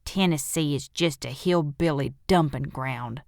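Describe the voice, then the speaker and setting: A woman's voice: low-pitched, unhurried, gravelly, darkish tone, no echo, studio quality, pitched below the performer's own voice. Woman as a rude, sneering young boy. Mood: scornful. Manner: blunt, scornful. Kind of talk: audiobook character voice.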